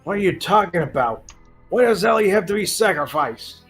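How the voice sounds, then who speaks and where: A man's voice: sits high, sing-song, normal speed, a bit bright, husky, soft background music, exaggerated voice. A man voicing an earnest, questioning young hero in an amateur game fandub. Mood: wary, questioning.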